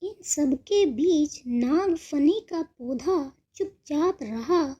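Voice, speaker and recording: High, very slow woman storyteller. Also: lively intonation, dark tone. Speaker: narrator. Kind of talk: scripted children's story narration.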